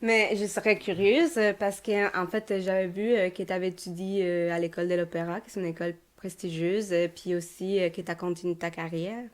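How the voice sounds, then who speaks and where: A woman's voice: fairly low pitch, flat delivery, brisk, few pauses. A woman with a Québécois French accent hosting a podcast, reading a scripted intro, then chatting with many fillers and restarts. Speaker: podcast host.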